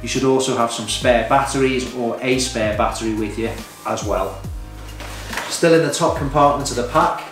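A man's voice: mid-range voice, moderate pace. Man in an echoey room, explaining plainly. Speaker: hiking vlogger giving beginner tips. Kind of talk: informal instructional talk to camera. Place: indoors, small home room at a table. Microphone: camera a few metres away. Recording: echoey room, distant mic, faint music.

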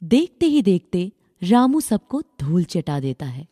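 A woman narrates a children's tale in a lowish, mellow voice. Her pitch moves in lively swings as she tells the scripted story. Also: unhurried, no echo, studio quality.

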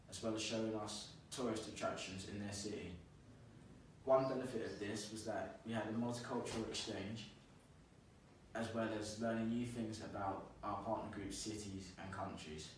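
A young man presents a class project in a steady, rehearsed way. His voice sits a little low. It is picked up by a distant camera in a bare, strongly echoing classroom with faint noise. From London. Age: young adult. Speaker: student presenter. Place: classroom with a large screen. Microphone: far camera. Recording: echoey room, camera far off.

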